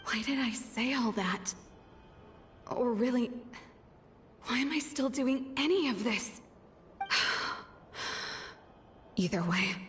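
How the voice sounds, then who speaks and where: A woman's voice: fairly high pitch, a little hiss or hum. Guarded, prickly young female scout. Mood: self-doubting. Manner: halting, guarded, brusque. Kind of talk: video game voice acting.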